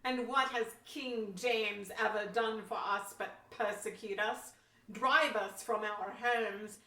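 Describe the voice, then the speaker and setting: Woman as bright-voiced, earnest Pilgrim pastor. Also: mid-range voice, steady tone, slow. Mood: fervent, resolute. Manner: earnest, archaic formal phrasing. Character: devout, resolute Pilgrim pastor, male, earnest. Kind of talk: amateur historical audio play acting. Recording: roomy echo, distant from mic.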